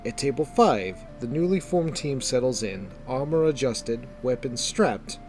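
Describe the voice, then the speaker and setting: A man narrating a scripted fantasy story in a measured, descriptive storytelling manner. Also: medium pitch, expressive, darkish tone, faint music behind.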